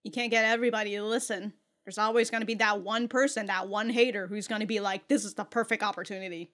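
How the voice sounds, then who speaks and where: A woman's voice: medium pitch, steady tone, quick pace, fairly bright tone. A woman giving casual reaction commentary as a video host, blunt and jokey, with slangy, sweary talk.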